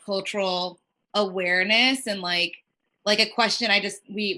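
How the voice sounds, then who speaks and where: A woman working as a child life specialist, chatting casually and rambling with lots of "like" fillers. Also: medium pitch. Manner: rambling, heavy use of "like", quoting others in lively voices. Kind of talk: casual conversation on a podcast.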